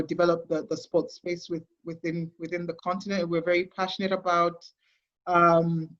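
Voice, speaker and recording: A woman's voice: fairly low pitch, highs cut off. A young adult Kenyan woman with a Kenyan accent, a guest talking enthusiastically in a casual interview, with frequent laughs and restarts. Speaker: guest, sports consultancy CEO.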